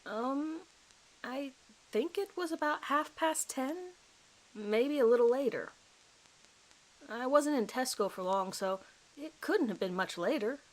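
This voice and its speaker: A woman's voice: high voice, animated, a little slow, long pauses, pitched above the performer's own voice, rough recording. Woman voicing a nervous, hesitant thirties male suspect. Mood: defensive, unsure. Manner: hesitant, apologetic, rambling recollection. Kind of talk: dramatized police interview recording.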